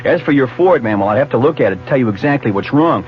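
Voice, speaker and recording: A man's voice: mid-range voice, lively intonation, fast, natural voice. A man acting in a 1970s radio commercial as a cheery, reassuring transmission serviceman. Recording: muffled 1970s radio advertisement, music behind.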